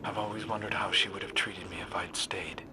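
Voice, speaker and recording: An actor playing a reserved, earnest young outcast warrior in a video game, speaking in a measured, reflective and confiding way. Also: male voice, deep voice, steady tone, very noisy, lightly acted. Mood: wistful, confiding. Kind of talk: cinematic video game dialogue.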